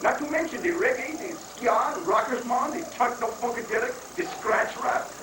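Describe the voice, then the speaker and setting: Man playing a high-pitched, rambling foreign guru. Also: normal speed. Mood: chatty, proud. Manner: rambling, wordy, mock-pious with foreign phrasing. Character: flamboyant wandering foreign guru, rock-obsessed, evasive and cheerful. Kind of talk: comic dramatic stage reading. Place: echoey room, mic at a distance. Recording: noisy 1980s live recording, echoey, distant mic.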